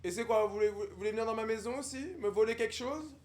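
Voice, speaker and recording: A man playing a pompous, high-voiced snob. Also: quick pace, a little mellow, natural voice. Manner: affected formal speech, pompous and mocking. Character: haughty snobbish young posh man, condescending. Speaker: livestreamer on a video chat app. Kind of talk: improvised character roleplay with strangers.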